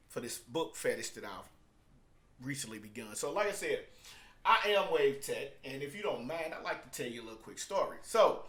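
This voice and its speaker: Halting, emphatic man vlogging casually. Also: mid-range voice, sharp tone. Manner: halting, repetitive, emphatic, with swings between subdued and hyped delivery. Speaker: music producer and entrepreneur hosting a video book review. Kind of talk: casual unscripted vlog monologue.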